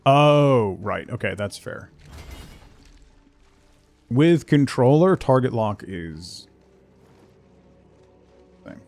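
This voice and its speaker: A man's voice: medium pitch, expressive, extremely slow, pauses often, a little mellow, soft background music. A man chatting casually as he livestreams a game, muttering asides to himself and his viewers. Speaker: game streamer. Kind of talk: casual livestream gameplay chat.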